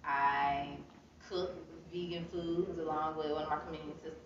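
Low-pitched American woman in an echoey room. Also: steady tone, normal speed. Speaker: interview guest, poet and author. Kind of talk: casual chatty interview answers. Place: indoor arts center room, seated. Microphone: phone camera a few metres away. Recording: echoey room, distant muffled phone-camera sound, chatter.

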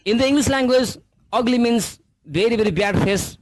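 A man's voice: very high voice, a little fast, rough recording. A young man with a Nepali accent, joking in broken English on a casual live video call from a room at home, speaking into a headset mic. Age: 20s. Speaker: live-stream host. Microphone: headset with boom mic.

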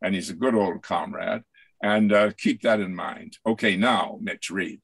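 Older man, low voice, halting speech. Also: gravelly, a bit bright, husky, no echo. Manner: halting, rambling, earnest encouragement. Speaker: playwright introducing an author. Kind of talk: informal speech at a virtual book launch. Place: small home office, indoors. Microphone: video call.

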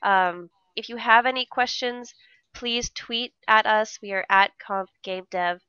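A woman's voice: fairly high pitch, a little slow, bright, forceful tone, smooth, dry recording, lo-fi sound. Stuffy-voiced young woman streamer. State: stuffy from a cold. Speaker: co-host of a game dev stream. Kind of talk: casual live-stream chat. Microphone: headset.

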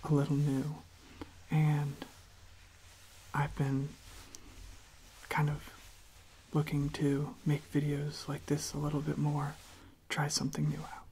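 A young adult ASMR host speaking in a soft, gentle whisper, halting and repeating words, close to the microphone. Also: mid-range voice, monotone, slight background noise, poor audio.

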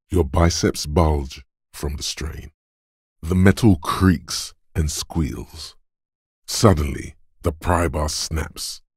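A man playing a gruff, domineering inner voice of brute strength in a video game, blunt and deliberate in delivery. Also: no echo, studio quality, full character voice. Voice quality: gravelly, husky bass. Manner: blunt, domineering gym-coach goading mixed with slow literary narration. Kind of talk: video game voice acting, scripted narration.

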